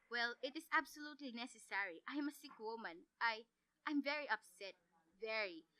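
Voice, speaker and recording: A woman's voice: a little high, lively intonation, fairly quick, bright, forceful tone, smooth. A woman acting as a nervous, demanding invalid wife in an old-time radio thriller, with an urgent, agitated delivery. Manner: imperious, agitated, breathlessly urgent.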